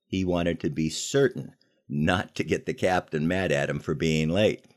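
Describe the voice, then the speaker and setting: An older American man telling personal stories in a conversational, folksy way, leaning deliberately on key phrases, as a podcast host. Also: low-pitched, fairly even intonation. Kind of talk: conversational storytelling with moral lessons.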